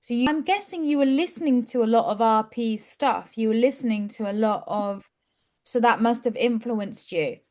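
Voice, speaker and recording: A woman with a fairly high voice hosts an English-learning interview. She asks open questions and keeps encouraging her guest. She often reacts with an easy "wow". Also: moderate pace, a little mellow.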